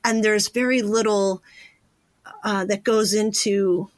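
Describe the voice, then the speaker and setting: A woman with a mid-range voice speaks as an interview host in a close, dry recording. She talks casually and reflectively, working through her thoughts out loud in an informal way. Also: medium pitch, fairly even intonation, slow, no room sound. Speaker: podcast host interviewing a guest.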